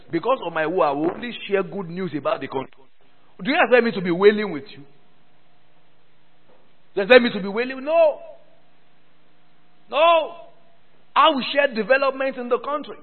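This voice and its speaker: A man preaching a sermon to a church, repeating phrases and calling for responses from the congregation. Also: sits high. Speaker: pastor. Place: church hall. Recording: thin phone-like sound with long hall reverb.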